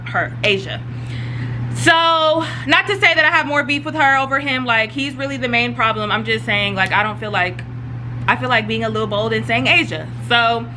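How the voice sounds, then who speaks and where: A woman's voice: on the high side, normal speed, sharp tone, slight background noise, poor audio. A young woman from Atlanta, telling a storytime in a chatty, rambling way full of fillers. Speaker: YouTuber. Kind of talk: casual storytime.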